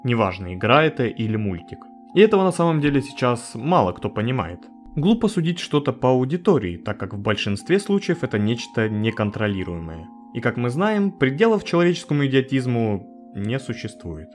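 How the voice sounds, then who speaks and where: A man's voice: medium pitch, very animated, brisk, hardly any pauses, faint music behind. A man giving a scripted video narration in a wry, joking, informal way, with some swearing.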